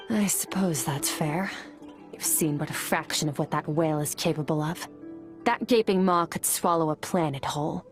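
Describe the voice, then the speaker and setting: Aloof warrior woman , voiced by an actress: lowish, even and measured, coolly detached, faint music behind. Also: lightly acted. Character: aloof powerful woman warrior, cool and composed. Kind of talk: video game voice acting.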